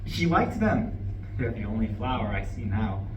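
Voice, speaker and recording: A man's voice: on the low side, very animated, moderate pace. An actor playing a distraught, irritable, newly divorced man in a live stage play reading. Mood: wistful, grieving. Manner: faltering, sighing, swinging into bitter outbursts. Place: indoor room at a live reading. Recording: echoey room, distant mic, faint noise.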